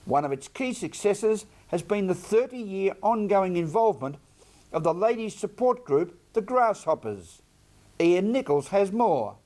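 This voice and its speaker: A man's voice: high-pitched, big pitch swings, measured pace, darkish tone. A man with an Australian accent reading a scripted news introduction as a presenter.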